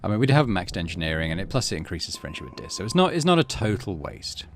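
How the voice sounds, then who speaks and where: A man's voice: sits low, big pitch swings, fast talker. A man doing casual game commentary, thinking aloud and reading game text out as the host of a gaming channel.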